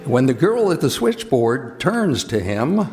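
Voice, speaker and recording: Male storyteller for radio drama, unhurried read, mid-range voice, hall reverb. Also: medium pitch, very animated, a little mellow, soft background music. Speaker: narrator of a radio play. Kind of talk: scripted dramatic narration. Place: live stage performance in a hall. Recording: echoey live hall.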